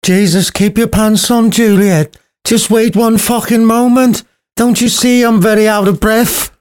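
A man's voice: high-pitched, fairly even intonation, unhurried, darkish tone, smooth, voice pushed up. A man voicing a bossy, crude old woman nurse in a storybook retelling, snapping and threatening. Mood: snappish.

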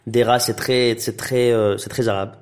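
A man's voice: mid-range voice, flat delivery, normal speed, a little mellow. A man in his thirties with Arabic as his first language, raised in Tunisia and Belgium, talking casually as an interview guest with many fillers and restarts. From Iraqi heritage, raised in Tunisia and Belgium. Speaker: interview guest, actor and director.

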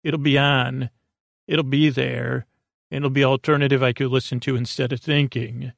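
A man with a creaky voice hosting a bedtime podcast, rambling in a halting, soothing way full of tangents and trailing thoughts. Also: medium pitch, flat delivery, dry recording, studio quality. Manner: soothing, lulling. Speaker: podcast host.

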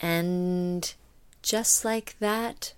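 A woman's voice: fairly low pitch, steady tone, drawn-out words, clean tone. A woman co-hosting a casual podcast, talking in a hesitant way with many ums and restarts. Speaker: podcast co-host.

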